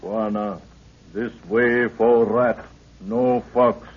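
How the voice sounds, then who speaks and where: Tinny old radio, stubborn african tribesman guide, slow broken english, grunts a lot. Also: fairly low pitch, steady tone. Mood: grumbling, reluctant. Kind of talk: 1930s radio adventure acting.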